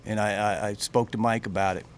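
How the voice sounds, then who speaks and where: A middle-aged man, a head football coach, answering questions at a postgame press conference in plain, halting speech full of fillers and restarts, into a table mic. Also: on the low side, level tone, slight background noise. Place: press room with branded backdrop. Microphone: table mic on a stand.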